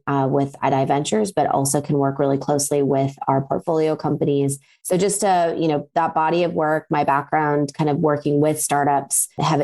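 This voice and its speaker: Low-pitched woman, american accent, talks easy with lots of ums, on a call. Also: mellow. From Pakistan. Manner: fluent with frequent ums and restarts. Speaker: guest, venture capital partner. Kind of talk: conversational interview answers.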